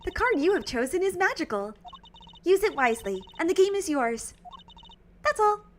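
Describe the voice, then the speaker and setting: A woman's voice: very high-pitched, sing-song, moderate pace, a little hiss or hum, voice pushed up. A woman voicing a cheerful teenage girl stage magician who speaks in a theatrical, mysterious way.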